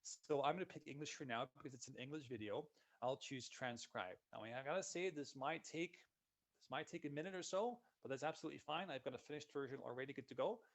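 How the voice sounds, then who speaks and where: A man, a solutions consultant giving a relaxed, conversational walkthrough of software in a live webinar. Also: medium pitch, fast. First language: Dutch. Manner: relaxed, conversational walkthrough narrating each click.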